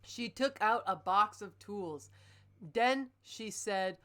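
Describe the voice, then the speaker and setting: A woman's voice: medium pitch, takes it slowly, fairly bright tone. A woman hosting a comic reading, moving between dramatic narration and casual joking commentary. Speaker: host reading and critiquing fan fiction.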